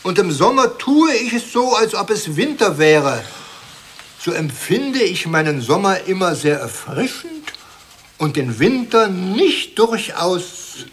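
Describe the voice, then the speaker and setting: An actor playing a pompous, touchy old academy president in an absurdist radio comedy, theatrical and forever interrupting himself. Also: male voice, high voice, a bit bright, heavily acted. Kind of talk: absurdist comic radio play acting.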